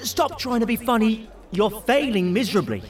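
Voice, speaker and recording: A man's voice: high-pitched, expressive, normal speed, recorded from a distance, faint music behind. An actor playing the mouthy, berating, panicky inner voice of an awkward British man in an audio drama. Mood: scolding. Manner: sweary, berating.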